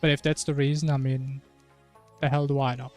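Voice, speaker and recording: A man's voice: mid-range voice, level tone, brisk, darkish tone, faint music behind. A man streaming a video game, chatting casually and musing in a rambling way. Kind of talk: casual chat while gaming.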